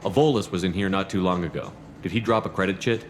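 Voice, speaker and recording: A man's voice: low voice, fast, soft background music, close to an everyday voice. A man playing a resolute, commanding soldier hero in a video game, firm and direct. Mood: businesslike.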